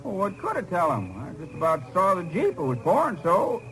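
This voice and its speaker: An actor playing an excitable, bewildered roadhouse man who talks in a jumpy, folksy way in a 1950s radio crime drama. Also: high voice, brisk, exaggerated voice, low-quality recording. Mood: puzzled, defensive. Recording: old 1950s radio recording.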